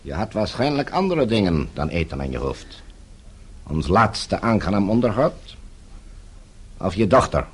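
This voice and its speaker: An actor playing a smug, menacing villain in a radio play, with a husky voice and a suave, coolly threatening manner. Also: male voice, low voice, sing-song, a little slow, long pauses, exaggerated voice. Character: menacing, smug male foreign embassy villain.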